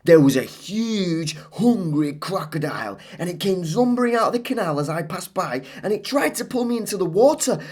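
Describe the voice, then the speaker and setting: A woman voicing an imaginative young girl in a storybook, telling tall tales with excitable, dramatic delivery. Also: fairly low pitch, normal speed, hardly any pauses, husky, pitched above the performer's own voice. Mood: excited, dramatic.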